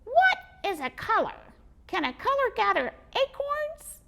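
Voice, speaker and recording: A woman voicing an angry little male squirrel with a squeaky voice. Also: wildly swooping pitch, unhurried, vocal fry, exaggerated voice. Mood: indignant. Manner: indignant, shouting.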